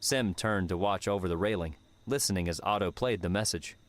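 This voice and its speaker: A man's voice: sits low, fairly quick, very noisy. A man reading dramatic scripted fiction as an audiobook narrator.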